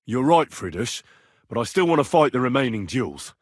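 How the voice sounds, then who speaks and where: A young medieval swordsman for a video game. His delivery is animated and colloquial, with wide swings in pitch and a slightly husky edge. He sounds plain-spoken and earnest, sometimes cheeky, in a close, dry recording. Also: moderate pace, no echo. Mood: earnest, resolute. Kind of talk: video game voice lines, naturalistic dramatic acting.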